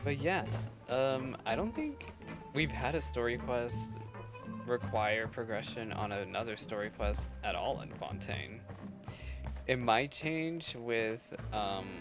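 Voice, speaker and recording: A woman's voice: rumbling low, expressive, slow. A woman gaming creator chatting casually through a playthrough, with wry, rambling asides. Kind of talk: casual playthrough reaction chat. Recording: game music behind the voice.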